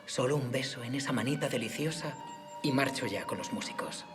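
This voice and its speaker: Gallant courtly gentleman over music played by an actor. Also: medium pitch, a little fast, natural voice. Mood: flirtatious. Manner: courteous, flattering. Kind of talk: audio drama acting.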